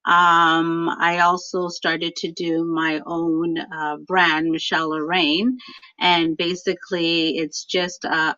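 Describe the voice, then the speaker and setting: A woman's voice: on the low side, fairly bright tone, no room sound, lo-fi sound. A woman guest speaking in an upbeat, chatty way with some fillers, in a casual interview.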